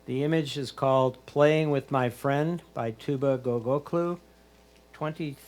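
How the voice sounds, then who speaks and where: A man's voice: mid-range voice, steady tone, measured pace, a little mellow. A man working as a competition score announcer, reading out titles and scores in a formulaic way.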